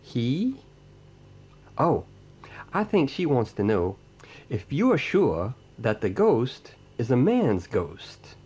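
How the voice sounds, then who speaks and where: An actor playing a short, polite hillbilly ghost hunter's assistant in an audio drama, folksy and deferential. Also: male voice, medium pitch, wildly swooping pitch, slow, a little mellow, husky, heavily acted. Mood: polite, businesslike questioning. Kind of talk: audio drama character dialogue.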